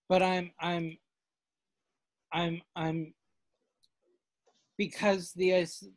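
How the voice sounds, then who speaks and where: Slow, halting man leading a seminar. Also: on the high side, no room sound. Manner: halting, many fillers and restarts. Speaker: class discussion leader. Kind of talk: casual seminar discussion on a video call. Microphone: video call.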